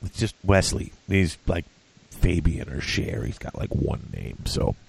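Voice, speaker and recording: A man's voice: low voice, lively intonation, unhurried, gravelly, darkish tone, slight background noise. A man hosting a casual podcast, congested and breathing hard, chatty and self-deprecating with many fillers. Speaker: podcast host.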